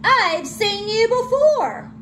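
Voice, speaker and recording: A woman voicing a lazy, selfish female bird in a children's storybook, exclaiming in a shrill sing-song. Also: sing-song, takes it slowly, fairly bright tone, a little hiss or hum, heavily acted. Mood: gushing, surprised.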